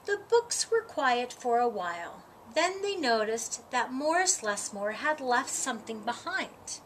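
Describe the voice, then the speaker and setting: A woman reading a children's story aloud, with expressive, deliberate phrasing and dramatic emphasis. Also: on the high side, rough recording. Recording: audible background noise.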